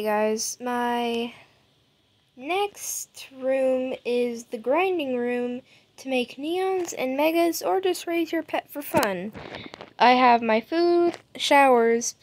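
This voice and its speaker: Woman gamer, high voice, unhurried rambling narration over gameplay. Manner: chatty, rambling with fillers. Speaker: gaming YouTuber. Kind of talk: casual game house tour. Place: home setup.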